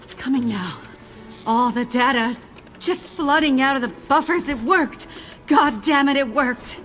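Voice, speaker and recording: An actress playing an older woman scientist in a video game, brusque and intense, speaking in a measured, learned and emphatic way. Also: sits high, fairly bright tone, soft background music. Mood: elated. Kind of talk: dramatic video game acting.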